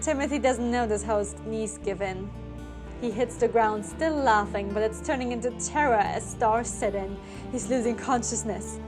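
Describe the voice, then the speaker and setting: Expressive woman reading a dramatic story over music. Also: a little high, normal speed.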